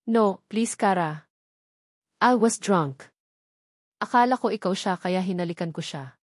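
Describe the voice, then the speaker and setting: A woman's voice: medium pitch, unhurried, a little mellow, dry recording, studio quality, natural voice. A woman voicing a remorseful husband who pleads insistently with his wife in an audiobook drama. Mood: desperate pleading.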